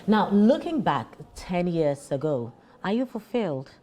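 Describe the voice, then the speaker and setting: A woman's voice: lowish voice, big pitch swings, unhurried, dark tone, a little hiss or hum. A woman hosting a TV interview, asking questions and giving frequent affirming murmurs.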